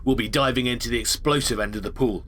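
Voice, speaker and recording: A man acts a seasoned sci-fi agent briefing a recruit. His mid-range voice is brisk and instructive with dry understatement, over faint ambience. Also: mid-range voice, a bit bright, a little hiss or hum, close to an everyday voice. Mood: urgent, commanding. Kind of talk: scripted audio drama parodying video game tutorials.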